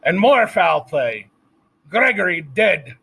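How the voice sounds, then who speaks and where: A man's voice: moderate pace, fairly bright tone. A man voicing a distraught female cook who wails and sobs. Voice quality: high, wailing, sobbing.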